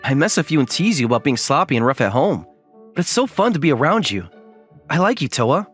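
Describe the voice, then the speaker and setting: A man's voice: fairly high pitch, sing-song, quick pace, faint music behind. A man voicing an earnest, considerate, easily flustered young man who also narrates a manga dub. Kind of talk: acted dialogue and first-person narration.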